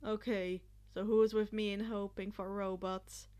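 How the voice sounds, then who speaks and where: A dry, sceptical teenage boy as a woman reader plays him, in a deliberately lowered voice. The lines are acted dialogue from a fan fiction audiobook. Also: flat delivery, dark tone, clear, resonant tone, natural voice.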